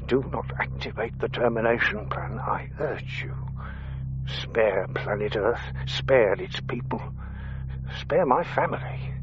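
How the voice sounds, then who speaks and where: An actor playing an older, earnest alien agent posing as a human dad, giving formal, measured reports in a radio comedy drama. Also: male voice, mid-range voice, in a whisper, natural voice. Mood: pleading, grave. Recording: dull band-limited recorded message over music.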